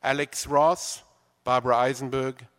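A man's voice: medium pitch, flat delivery, a little slow, a bit bright. A man hosting a library stage event, welcoming guests in an effusive, formal way with playful asides. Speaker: host. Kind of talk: stage introduction and reading. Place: large library event hall. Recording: reverberant auditorium.

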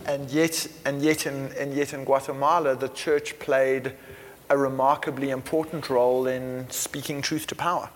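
Flat-voiced male moderator, echoing hall. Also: mid-range voice, moderate pace. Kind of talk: moderating a panel. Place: lecture hall. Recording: reverberant hall with faint room noise.

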